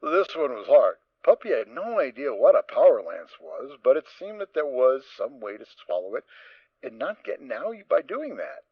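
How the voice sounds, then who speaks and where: A man narrating a fan audio drama in an expressive storytelling manner. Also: medium pitch, moderate pace, husky, dry recording. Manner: expressive reading. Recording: dull, dry lo-fi recording.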